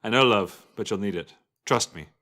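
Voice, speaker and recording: A man voicing a brisk, familiar barmaid, a grown woman working a busy tavern in a fantasy story. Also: lowish voice, sharp tone. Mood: knowing, familiar. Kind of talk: fantasy story character dialogue.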